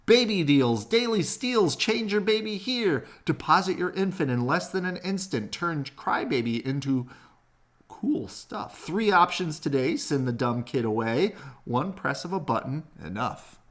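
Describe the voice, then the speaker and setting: A man's voice: a little high, lively intonation, measured pace, voice pushed up. A man voicing a pushy talking advertisement screen in a picture book, with hard-selling announcer patter. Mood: brash, salesy. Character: pushy advertising screen, brash salesman pitch.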